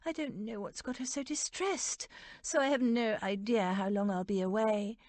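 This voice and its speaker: A woman voicing a caring, decisive young English lady with a brisk, practical manner in a storybook reading. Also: on the high side, darkish tone, dry recording, close to an everyday voice. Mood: brisk, resolute.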